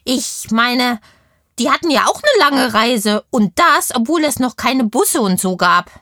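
A woman's voice: a little high, animated, measured pace, bright, forceful tone, dry recording, studio quality, heavily acted. A woman voicing a cheeky, curious kindergarten girl who chats in childlike slang in a children's audio play. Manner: chatty, childlike slangy German.

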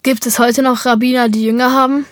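A woman's voice: on the high side, level tone, brisk, no room sound, clean studio sound. A woman reading interview questions aloud.

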